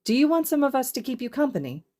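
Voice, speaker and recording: A woman's voice: high-pitched, sing-song, quick pace, darkish tone, voice pushed up. A woman voicing a friendly, sociable teenage schoolgirl with a chatty, inviting manner in a story reading. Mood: friendly, upbeat. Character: friendly, upbeat teenage girl student, eagerly sociable.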